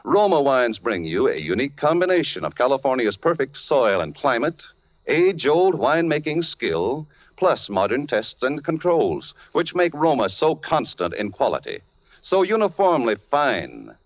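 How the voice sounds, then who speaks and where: A man's voice: mid-range voice, sing-song, moderate pace. A man with an American accent, a polished, emphatic, formal 1940s radio announcer. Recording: very muffled old radio broadcast. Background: music.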